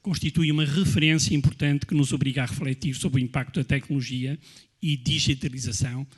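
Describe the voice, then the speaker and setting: A man's voice: mid-range voice, fairly even intonation, quick pace, slightly rough. A man, moderating a conference session and reading out a formal introduction of the speaker.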